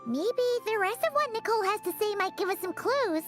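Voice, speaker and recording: Tiny game fairy girl, very high squeaky childlike voice, perky, impatient, swooping intonation, music underneath. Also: fairly quick. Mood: curious, chirpy. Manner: refers to self in third person, expressive. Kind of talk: video game voice acting. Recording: music behind the voice. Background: background music.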